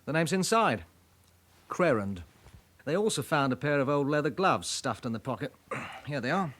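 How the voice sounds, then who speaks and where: A man's voice: on the high side, big pitch swings, moderate pace, fairly bright tone. A man playing a helpful police colleague in a radio drama, reporting in a matter-of-fact manner.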